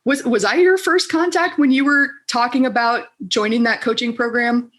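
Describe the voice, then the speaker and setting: A woman's voice: fairly high pitch, steady tone, moderate pace, fairly bright tone. A woman from the Southern United States hosting a podcast and interviewing a guest in an enthusiastic, chatty way. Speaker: podcast host, coach. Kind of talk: casual interview talk.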